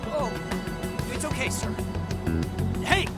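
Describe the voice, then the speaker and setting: High-pitched, flustered underling. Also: male voice, slow pace. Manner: panicky, pleading. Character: anxious, cowardly underling, pleading and flustered. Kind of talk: dubbed video game cutscene acting. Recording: music behind the voice.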